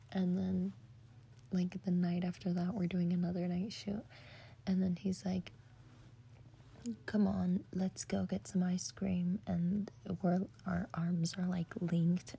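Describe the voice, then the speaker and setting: Softly whispering young woman, gravelly. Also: lowish voice. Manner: whispering throughout, chatty, swears, many likes and fillers. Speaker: storyteller. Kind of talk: whispered casual storytelling.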